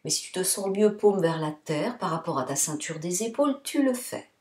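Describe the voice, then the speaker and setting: A woman yoga nidra instructor guiding a relaxation meditation in a soothing, deliberate manner, in short phrases with pauses. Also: lowish voice, animated, a little mellow. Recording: roomy, echoing sound.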